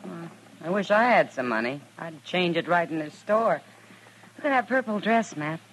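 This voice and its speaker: A woman's voice: on the low side, expressive, normal speed, fairly bright tone, a little hiss or hum, rough recording. An actress playing a breezy, wisecracking frontier woman in a 1950s Western radio drama, folksy and wry, on an old radio recording. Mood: wry complaint.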